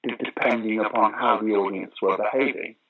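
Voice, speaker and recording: A man's voice: lowish voice, fairly even intonation, fairly quick, phone-quality sound, over a noisy crowd. An older man telling theatre anecdotes in an interview, with a theatrical, emphatic manner. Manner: theatrical, emphatic storyteller who acts out remarks. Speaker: interview guest, pantomime actor and former opera singer. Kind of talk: animated anecdotal interview talk.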